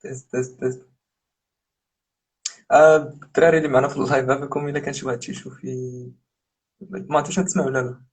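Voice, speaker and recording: A man's voice: medium pitch, steady tone, very fast, long pauses, breathy edge. A man hosting an interview over a video call, checking the line and summing up the guest's story. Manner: checks if he is heard, restates the guest's points.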